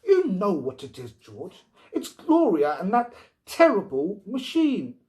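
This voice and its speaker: Storybook wife and mother, played by a man: high, swooping delivery, indignant, anxious, insistent. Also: wildly swooping pitch, takes it slowly, darkish tone, full character voice. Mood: accusing. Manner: pleading, emphatic.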